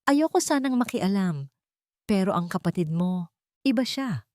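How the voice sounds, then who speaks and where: A woman's voice: medium pitch, lively intonation, unhurried, a little mellow, no echo, clean studio sound, close to an everyday voice. A woman voicing a worried, apologetic elderly male supplier, hesitant and confiding, in a narrated story.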